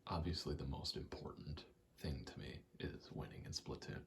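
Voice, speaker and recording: A young man in a casual, halting ASMR ramble, speaking softly and often slipping toward a whisper, with a self-deprecating, sweary manner. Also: extremely low pitch, fairly even intonation, vocal fry. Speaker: ASMR creator. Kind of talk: rambling ASMR, casual personal chat while gaming.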